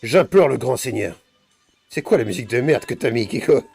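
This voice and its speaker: A man's voice: mid-range voice, lively intonation, brisk, creaky, darkish tone, breathy edge. A man voicing a doddery old parish priest in a game role-play, mock-solemn and theatrical, swearing in the middle of formal blessings. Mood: imploring, irritated. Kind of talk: improvised online role-play in a video game. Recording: music playing behind the voice.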